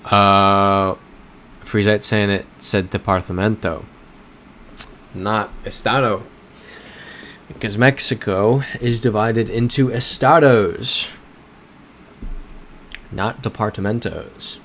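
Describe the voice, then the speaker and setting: American man, streamer, low-pitched voice, casual chat, reads out viewer messages, thinks aloud, faint background noise. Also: takes it slowly, pauses often, fairly bright tone. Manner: reads chat messages aloud and reasons through map clues.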